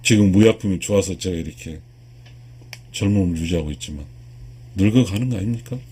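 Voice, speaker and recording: A man in his sixties from South Korea giving a spiritual lecture to viewers, earnest and emphatic, with a husky voice and repeated key phrases. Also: low voice, brisk, dark tone, slight background noise. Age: 60s. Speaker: lecturer, video channel host.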